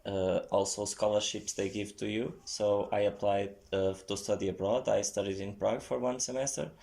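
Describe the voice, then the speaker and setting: A man speaking English as an as a podcast guest, hesitant with many pauses. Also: on the low side, fairly even intonation, creaky, darkish tone. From Portugal. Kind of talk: casual chat.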